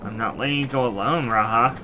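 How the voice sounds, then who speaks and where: A man's voice: medium pitch, fairly quick, background noise, lightly acted. Firm, protective game adventurer played by a man. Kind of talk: voiced fantasy game dialogue. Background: loud game music.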